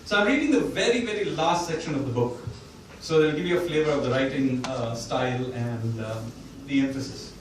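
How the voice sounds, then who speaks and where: A man, an author at a bookstore event, talks about his book and reads a passage from it, pausing often and restarting his sentences. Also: mid-range voice, slight background noise. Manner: thoughtful, with pauses and restarts. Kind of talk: talk and reading from his book. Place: bookstore event room, indoors. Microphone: distant mic or none. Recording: very echoey room, voice from far off.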